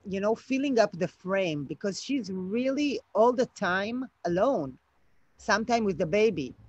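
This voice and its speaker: A woman's voice: mid-range voice, dry recording. A woman director, speaking hesitantly and thoughtfully over a video call from outdoors at night. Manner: hesitant, thoughtful, many fillers. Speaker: film director. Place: outdoors at night, home garden. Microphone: laptop webcam video call. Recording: dull lo-fi video call audio.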